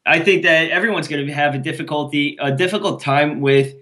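Bright-voiced man chatting wrestling results. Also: fairly high pitch. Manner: quick and chatty, with frequent restarts and self-mocking jokes. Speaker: podcast co-host. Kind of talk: casual wrestling podcast chat.

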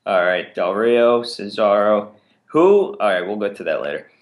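Relaxed man hosting a wrestling podcast. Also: lowish voice, moderate pace. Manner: relaxed, hesitant with fillers. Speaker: podcast co-host. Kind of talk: casual wrestling podcast chat.

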